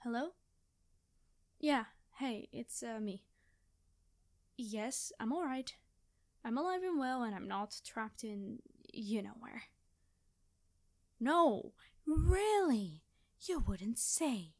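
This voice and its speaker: A woman plays a quirky female time traveler in an ASMR story roleplay. Her voice is high and close, with a dry sound free of room echo. She rambles cheerily and gets flustered and hesitant as she tries to cover up her secret. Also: darkish tone. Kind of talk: ASMR storytelling roleplay, one-sided conversation.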